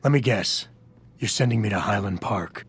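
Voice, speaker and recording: Video game lead: augmented security man, brooding, low gravelly register, deadpan and terse. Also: normal speed. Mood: terse, businesslike. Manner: deadpan, clipped.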